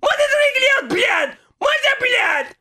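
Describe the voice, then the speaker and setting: A man playing a ranting Russian immigrant who has just been made a demon, with a Russian accent and a shouting, cursing delivery. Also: very high-pitched, expressive.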